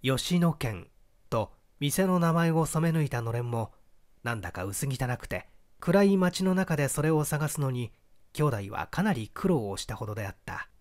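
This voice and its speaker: Expressive male narrator, lively pitch. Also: moderate pace. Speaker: audiobook reader. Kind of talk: scripted literary narration.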